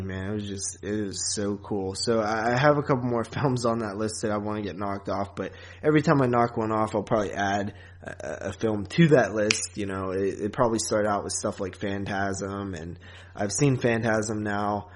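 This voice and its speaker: A man with a fairly low voice co-hosts a film podcast. He talks casually and informally, with the open enthusiasm of a devoted fan. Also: fairly even intonation, moderate pace. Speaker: podcast co-host. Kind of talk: casual chat about horror films.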